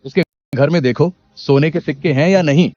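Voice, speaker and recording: A man's voice: on the high side, fairly quick, a little mellow, no echo, lightly acted. Stern, commanding village headman acted by a man. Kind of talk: animated moral story character acting. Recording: dull lo-fi sound under music.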